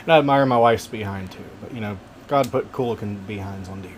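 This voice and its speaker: A man with a relaxing voice casually narrating wildlife camera footage, gentle and folksy with joking asides. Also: on the low side, quick pace, a little hiss or hum. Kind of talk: casual narration over video.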